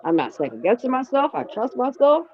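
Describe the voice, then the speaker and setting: A woman's voice: fairly high pitch, sing-song, a little fast, dull audio. A woman in her late forties hosting a casual livestream chat, speaking frankly with lots of swearing and fillers. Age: 40s. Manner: frank and profane, talks with many fillers. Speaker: livestream host.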